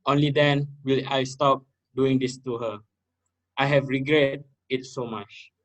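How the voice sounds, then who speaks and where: A man playing a bitter, defensive student with a guilty secret, in a halting amateur reader's theater delivery. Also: mid-range voice, steady tone, a bit bright, lightly acted, low-quality recording. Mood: bitter, regretful.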